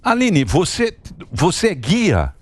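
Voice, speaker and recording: A man's voice: a little high, huge pitch swings, takes it slowly, husky. A man with a Brazilian accent, hosting an informal interview with blunt, teasing questions. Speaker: talk show host.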